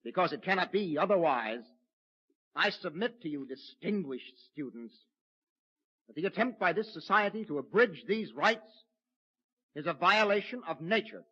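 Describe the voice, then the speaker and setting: An actor playing Orrin, a defiant young mutant with a twisted leg, speaking as an impassioned orator in a vintage radio drama. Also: male voice, high voice, expressive, normal speed, slightly rough. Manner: impassioned orator, tender when quiet. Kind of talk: 1950s radio drama acting. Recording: muffled vintage radio broadcast.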